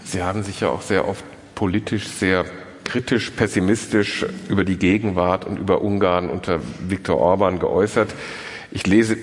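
A man moderating a formal interview, asking long, measured, polite questions. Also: sits low, fairly even intonation. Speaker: interviewer, event host. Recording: long hall reverb.

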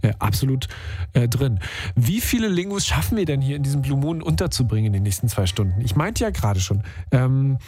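Lockerer Radiomoderator mit rauer Stimme. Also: male voice, mid-range voice, mellow. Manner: upbeat, chatty, slangy. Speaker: radio host. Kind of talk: casual radio call-in show. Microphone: studio microphone.

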